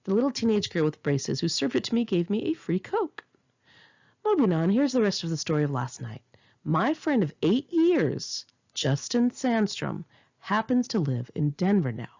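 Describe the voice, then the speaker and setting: A woman's voice: medium pitch, very animated, normal speed, vocal fry, darkish tone, muffled recording. A woman narrating her own first-person memoir like an audiobook, with dramatic, sarcastic and indignant storytelling. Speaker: author reading own memoir aloud.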